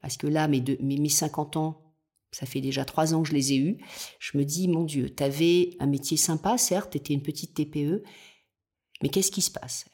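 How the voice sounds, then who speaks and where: A woman's voice: low-pitched, steady tone, normal speed, a little mellow, breathy edge. A woman in her fifties, an entrepreneur guest, telling her own story in a reflective, determined way. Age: 50s. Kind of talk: personal storytelling in interview.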